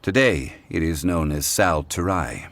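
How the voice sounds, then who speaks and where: A man playing a calm, learned gentleman consultant in a video game, speaking in a measured, formal, erudite way. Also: low-pitched, dry recording, full character voice. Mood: solemn, instructive. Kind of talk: English video game voice acting.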